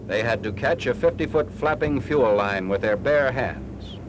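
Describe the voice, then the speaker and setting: American male, mid-pitched, formal clipped instructional read for a 1940s military training film, noisy vintage soundtrack. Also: animated, a little slow.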